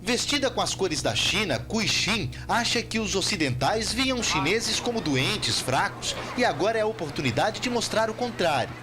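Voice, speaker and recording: A man's voice: sits high, sing-song, normal speed, few pauses, music in the background. A man with a Brazilian accent narrating a scripted TV report as a foreign correspondent. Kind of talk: scripted news report with translated quotes.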